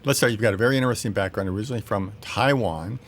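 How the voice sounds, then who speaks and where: A man hosting a talk show, interviewing a guest in a brisk, conversational way. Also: fairly low pitch, slight background noise.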